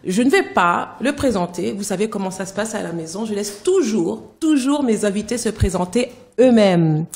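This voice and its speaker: A woman hosting a talk show, introducing her guest and asking him questions in a lively way. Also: medium pitch, normal speed, few pauses, echoey room. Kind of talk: hosting an interview.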